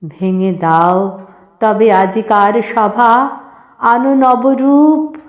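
Very slow, echoing woman reciting poetry. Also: fairly high pitch, steady tone. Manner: unhurried, phrase-by-phrase declamation with pauses. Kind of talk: expressive, measured poem recitation.

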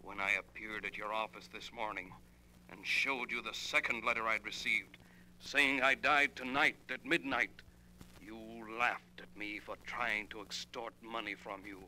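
A man in a 1940s radio drama playing a coldly vengeful man, speaking deliberately and with menace. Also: on the low side, fairly even intonation, sharp tone. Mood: cold, menacing. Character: vengeful dead man coldly reading out his plan. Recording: noisy, humming old radio recording.

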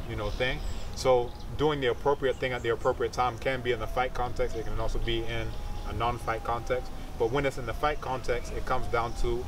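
A man's voice: mid-range voice, recorded from a distance, background noise. A man in his thirties explaining as a teacher in an interview, didactic, often checking that the listener understands. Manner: didactic, checks understanding with short tag questions. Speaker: capoeira teacher and researcher. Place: outdoors among leafy trees.

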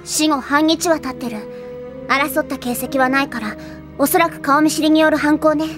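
A woman's voice: high voice, steady tone, normal speed, music in the background, close to an everyday voice. A woman playing a bossy, self-important teenage girl detective in an anime audio drama, commanding and self-assured. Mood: grave, assured.

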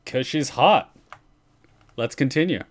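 A man's voice: mid-range voice, expressive, slow pace. A man hosting a reaction stream, giving casual commentary from a room at home.

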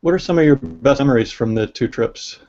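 A man hosting a video call interview, asking hesitant questions filled with pauses and fillers. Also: medium pitch, darkish tone. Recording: dull lo-fi video call audio.

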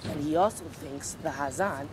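A woman reporter reading scripted news narration in a measured, even way. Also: sits low, noisy background.

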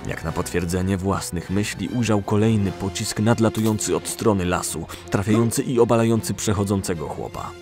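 A man's voice: fairly low pitch, a little fast, few pauses. A man narrating a story in a scripted storytelling style for an audio drama. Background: music.

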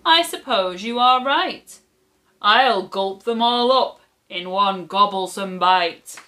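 A woman's voice: medium pitch, takes it slowly, sharp tone. A woman voicing a greedy, hungry troll in a rhyming children's storybook, grunting and relishing each word. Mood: grudging, greedy. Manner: gobbling, relishing, grunting and growling.

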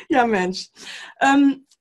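A woman in her forties hosting an interview over a video call from home, enthusiastic and filling pauses with "ähm". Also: sits high. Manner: warm enthusiastic with many fillers. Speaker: interview host, mindset coach. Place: home room with bookshelf.

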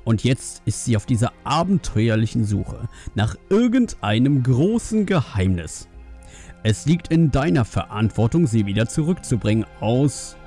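A man's voice: mid-range voice, big pitch swings, unhurried, mellow, soft background music. A man voicing an irate, scolding middle-aged panda father in an audiobook, sarcastic and indignant. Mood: accusing. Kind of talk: audiobook character voice.

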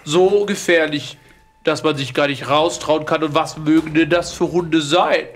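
A man voicing a courteous young lord in a horror audio drama, measured, musing and speculative. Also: a little high, steady tone, faint music behind.

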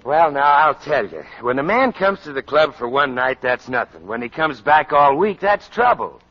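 Jolly club pal, animated, slangy and chummy, 1940s radio comedy, muffled recording. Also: male voice, fairly high pitch, moderate pace, soft background music.

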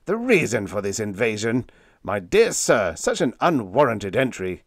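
A man voicing a big, gruff space captain in a science fiction story, defiant and stuffy, with a rasping, blustering delivery. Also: on the low side, very animated, a little slow, no echo, studio quality, heavily acted. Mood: stuffy indignation.

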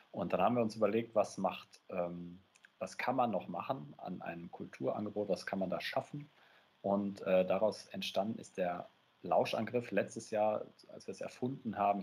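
A man in his thirties talks as a guest in a casual video-call interview. His voice is on the low side and noticeably husky, and he explains things conversationally. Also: darkish tone. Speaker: interview guest, event organiser. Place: dim indoor room. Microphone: wired earphones on video call.

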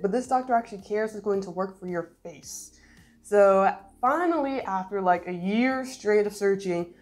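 A young woman talks straight to the camera in a chatty vlog, her voice still a little congested. She sounds animated, with light music underneath. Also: medium pitch. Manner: chatty, animated, addresses viewers directly. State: slightly congested, recovering voice. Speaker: beauty vlogger. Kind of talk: casual vlog tour narration. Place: indoor room at home, talking to camera.

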